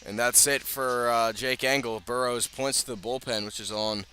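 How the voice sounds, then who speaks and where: Bright-voiced American baseball announcer, man. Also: medium pitch, fairly even intonation, moderate pace, soft background music. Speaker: radio play-by-play announcer. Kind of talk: live baseball play-by-play commentary. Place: outdoors at a ballpark. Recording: outdoor ballpark ambience, clear background noise.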